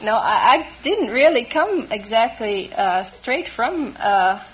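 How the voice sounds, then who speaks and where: Slow, halting young American woman. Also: on the high side, lively intonation, slightly rough. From New Jersey, US. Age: 20s. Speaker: quiz show contestant. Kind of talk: hesitant interview chat. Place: radio studio before an audience. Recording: muffled vintage radio recording with noise.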